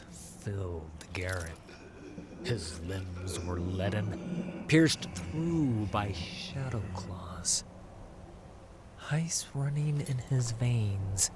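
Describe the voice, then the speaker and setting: A man narrating a fantasy audio drama in a solemn, measured, dramatic storytelling manner, letting phrase endings drop to a hush. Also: lowish voice, heavy background noise.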